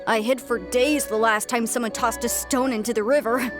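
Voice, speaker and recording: A shy little spirit boy voiced by a woman speaks in a high, hesitant game voice. He sounds timid and deeply polite, with music playing behind him. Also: moderate pace, lightly acted. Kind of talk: video game voice acting.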